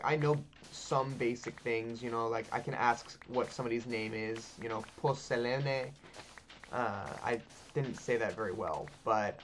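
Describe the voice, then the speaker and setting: A man's voice: mid-range voice, slight background noise. A man, chatting loosely over gameplay, full of ums, likes and casual swearing. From Texas. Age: 20s. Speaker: gaming channel host.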